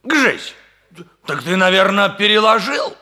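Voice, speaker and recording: An actor playing a commanding, excitable major in a theatrical 1960s Soviet radio comedy style. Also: male voice, high-pitched, measured pace, full character voice. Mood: reproachful. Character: bluff old-army major, a commanding, excitable gentleman.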